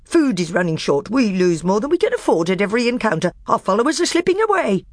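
Bitter snarling dwarf, pitched high and loud, put on by a male reader. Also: very animated, fast talker, no echo, studio quality. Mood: angry. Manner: snarling, accusing.

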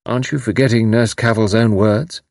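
A man voicing a gentle, patriotic Englishman in an audiobook, calm, thoughtful, dry and understated. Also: on the low side, normal speed, no echo, close to an everyday voice. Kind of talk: audiobook character dialogue.

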